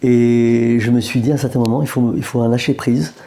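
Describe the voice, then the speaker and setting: Algerian-born guy talking about his paintings in a gallery, gravelly, dark warm tone, stops and restarts a lot. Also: medium pitch, little pitch movement, fairly quick. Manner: hesitant, repeats words, joking asides. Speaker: painter interviewed as guest. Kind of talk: casual interview. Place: art gallery room.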